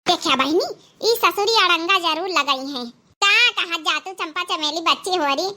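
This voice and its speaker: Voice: extremely high pitch, normal speed, pushed and bright, full character voice. A performer voicing a sly, coaxing young village daughter-in-law in a comic cartoon family drama, with a rustic Awadhi accent and a wheedling, persuasive manner. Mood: sly, exasperated.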